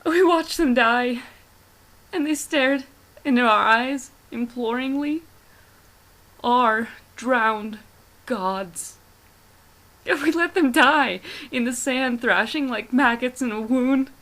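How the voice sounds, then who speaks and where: A woman playing a haunted, horrified witness who tells a gothic horror tale in a grave, breathy manner. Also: sits high, slow, pauses often, fairly bright tone, full character voice. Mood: haunted sorrow. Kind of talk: hushed gothic horror monologue.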